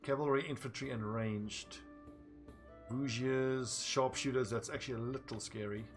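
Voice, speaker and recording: Man, South African accent, casual game streamer, chatty, mid-pitched voice, faint music behind. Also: fairly even intonation, normal speed. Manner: chatty, thinking aloud, answering chat. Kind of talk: casual gameplay livestream commentary.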